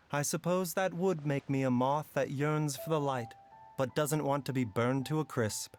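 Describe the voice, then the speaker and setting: Man acting as a composed, resolute gentle doctor. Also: fairly high pitch, unhurried, faint music behind, lightly acted. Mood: wry. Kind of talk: dramatic game voice acting.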